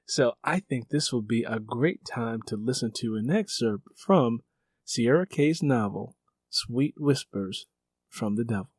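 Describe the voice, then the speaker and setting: A man's voice: mid-range voice, expressive, a little slow, a little mellow, breathy edge, no room sound. A man hosting an interview, introducing and prompting his guest. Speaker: interviewer and host.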